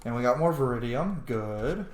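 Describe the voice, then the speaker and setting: Excitable man with lively, swooping pitch. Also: normal speed. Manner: excitable, informal, swearing. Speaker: let's play host. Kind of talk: casual gameplay commentary to viewers. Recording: roomy echo, faint background noise.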